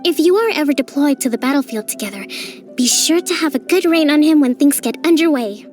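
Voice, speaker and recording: A woman voicing a gentle young priestess and strategist in a video game, speaking formally and thoughtfully in a soft, measured way. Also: sits high, animated. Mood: measured, appraising. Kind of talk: scripted video game character voice lines. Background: music.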